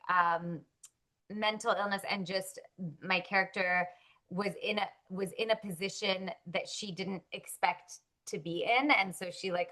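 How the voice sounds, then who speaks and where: A woman's voice: lowish voice, bright, forceful tone. A woman born in Russia, an actress chatting casually in an interview, upbeat and full of likes and ums.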